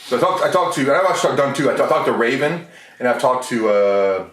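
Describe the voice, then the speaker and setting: An American man hosting a casual chat show, jokey and rambling, with halting restarts. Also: medium pitch, a bit bright. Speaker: podcast host. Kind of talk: casual beer review chat. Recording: roomy, distant mic, faint noise.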